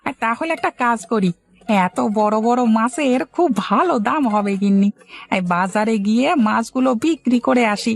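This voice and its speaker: A woman voicing an excitable, anxious but eager village farmer husband in a cartoon story, exclaiming and repeating words. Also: a little high, moderate pace, no echo, natural voice. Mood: excited. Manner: exclamatory, lamenting and calling out in repeats.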